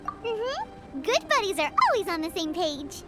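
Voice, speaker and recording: Super high squeaky little fairy sidekick, swoops all over, cheeky game character, music behind. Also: female voice, huge pitch swings, unhurried, exaggerated voice. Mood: excitable, cheeky. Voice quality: squeaky, childlike. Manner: refers to self in third person, blunt. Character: tiny childlike floating fairy companion, cheeky and excitable. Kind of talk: video game character dialogue.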